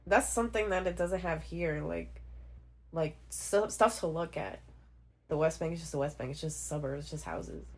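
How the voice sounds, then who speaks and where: A woman's voice: low voice, moderate pace, darkish tone, husky. A young woman born in Puerto Rico and raised around New Orleans, describing her childhood casually with frequent likes. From New Orleans area, born in Puerto Rico. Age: young adult. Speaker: interviewee, younger sister. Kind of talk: casual personal storytelling.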